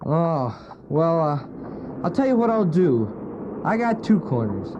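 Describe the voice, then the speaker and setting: A woman playing a streetwise, gruffly kind newsboy in an audio drama, talking in brash slang. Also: medium pitch, sing-song, slow. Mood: brash, then softening. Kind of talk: scripted audio drama acting. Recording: dull cassette, noisy street ambience with vehicles.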